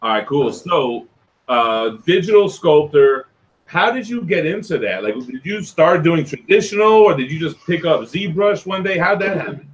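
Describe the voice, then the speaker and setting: A man's voice: a little high, animated, normal speed, low-quality recording. Laid-back male interview host. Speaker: show host. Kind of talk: casual interview chat.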